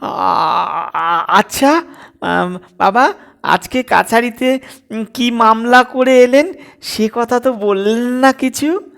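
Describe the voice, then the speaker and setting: A male reader plays a sly young daughter-in-law in a comic story, pitched high. She is coy and coaxing, hesitating over her words and drawing them out slowly. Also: gravelly, a bit bright, clean tone, heavily acted. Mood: nervous coaxing.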